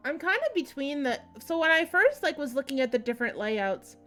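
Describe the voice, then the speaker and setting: A woman's voice: high-pitched, animated, a little fast, a bit bright. A woman livestreamer giving casual gameplay commentary and reading game text aloud. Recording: game music behind voice. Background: video game music.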